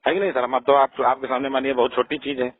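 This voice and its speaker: Man on tinny phone line, rapid speech, husky voice, deferential, insistent, repetitive. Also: medium pitch, flat delivery. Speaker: caller, dissatisfied client. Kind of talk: phone conversation. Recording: tinny, band-limited phone call recording.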